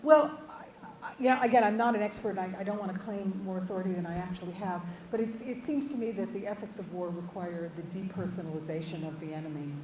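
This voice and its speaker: A woman with a low voice speaks as a guest in an academic discussion. She thinks aloud in halting, hedged phrases with repeated words. She is away from the mic in an echoey room with clear background noise. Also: recorded from a distance. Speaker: visiting guest speaker answering audience questions.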